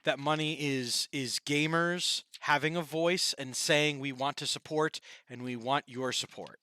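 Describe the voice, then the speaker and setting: A man's voice: on the high side, steady tone, sharp tone, clean tone, dry recording, studio quality. A man co-hosting a gaming podcast, chatting casually in a rambling, playful way. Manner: rambling, playful, quick to laugh. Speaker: podcast co-host.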